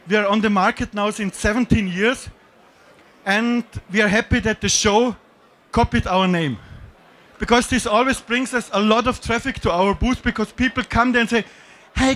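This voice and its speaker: A man's voice: sits high, fairly bright tone. Joking Austrian man on a conference stage. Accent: Austrian German. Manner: self-deprecating, jokey, with slightly non-native phrasing. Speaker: conference speaker. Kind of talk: joking keynote talk. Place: dim conference stage with audience. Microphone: handheld mic.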